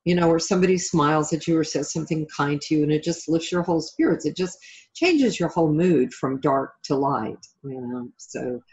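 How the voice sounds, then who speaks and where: A woman's voice: low-pitched, animated, brisk, a little mellow, highs cut off. A middle-aged woman being interviewed as a life coach and author, talking reflectively and conversationally in an encouraging way.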